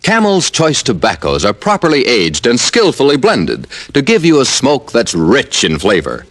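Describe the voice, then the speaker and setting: Bright, forceful American male radio announcer. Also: mid-range voice, huge pitch swings, moderate pace. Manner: emphatic, salesmanlike. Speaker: announcer, advertising pitchman. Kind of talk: 1940s radio commercial announcing.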